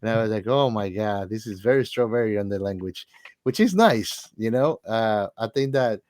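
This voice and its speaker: A man's voice: fairly low pitch, animated, moderate pace, darkish tone. A man co-hosting a casual film chat, talking in an informal, jokey way. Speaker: podcast co-host.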